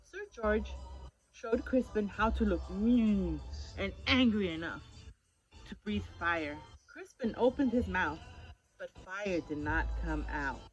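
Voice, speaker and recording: A woman reading a picture book aloud in an animated way, asking young listeners questions as she goes. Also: mid-range voice, takes it slowly. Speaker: children's story-time reader. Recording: dull lo-fi audio with music behind.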